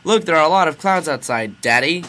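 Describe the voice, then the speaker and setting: A man's voice: on the high side, lively intonation, brisk, bright, forceful tone, pitched above the performer's own voice. A man voicing a clingy, earnest six-year-old boy with a childlike pleading manner. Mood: excited.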